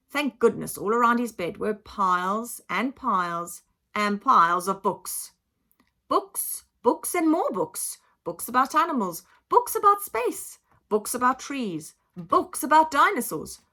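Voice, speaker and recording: A woman reading a children's book aloud in an expressive, dramatic, emphatic style, like a teacher telling a story to a class. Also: fairly high pitch. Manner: dramatic, emphatic, acts out sound effects. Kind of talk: expressive children's audiobook narration.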